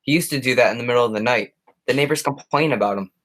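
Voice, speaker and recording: A man's voice: medium pitch, fast, a bit bright, lightly acted. Young man as a folksy, easygoing neighborhood cop. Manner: folksy, casual, polite. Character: friendly, plain-spoken neighborhood policeman, easygoing. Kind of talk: high school radio play acting.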